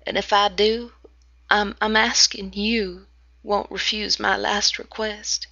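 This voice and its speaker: A woman's voice: mid-range voice, flat delivery, slow pace, creaky, pushed and bright, no room sound, dull audio, heavily acted. A woman voicing a freezing, dying man from the South in a recited poem, pleading in a moaning half-whisper.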